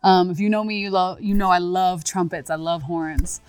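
A woman talking casually as an interviewed singer, expressive and reflective, with emphatic moments. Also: lowish voice, normal speed.

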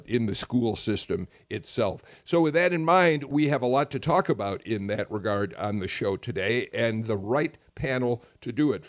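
Hoarse older man hosting radio talk. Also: mid-range voice, darkish tone, dry recording, clean studio sound. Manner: hesitant, many restarts and fillers. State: hoarse from a chest cold. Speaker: radio show host. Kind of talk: public radio panel talk.

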